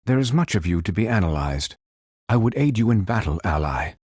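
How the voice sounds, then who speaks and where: A male actor voicing a calm, stately android superhero in a video game, speaking in formal, measured, precise phrases. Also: fairly low pitch, husky, no echo, clean studio sound. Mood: courteous, formal. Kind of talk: scripted game voice lines.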